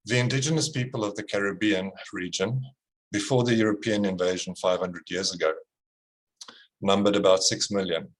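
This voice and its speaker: A man hosts the event in a very low voice. He speaks slowly and deliberately, with pauses, as he gives a formal introduction. He is heard over a video call. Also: rough recording. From South Africa. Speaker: host.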